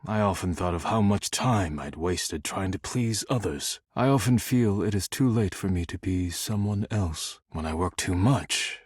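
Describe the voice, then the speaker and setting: An actor playing a stern, formal, work-obsessed man in a video game, speaking in measured, stiff diction. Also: low voice, a little mellow, slightly rough, dry recording, clean studio sound, full character voice. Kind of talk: video game character dialogue.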